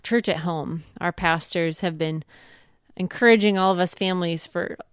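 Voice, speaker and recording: A woman in her thirties with a smooth, clean voice at a medium pitch. She shares advice as a co-host in an earnest, conversational style, recorded with hardly any room echo. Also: normal speed, studio quality. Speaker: co-host, homeschooling mother. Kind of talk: casual advice chat.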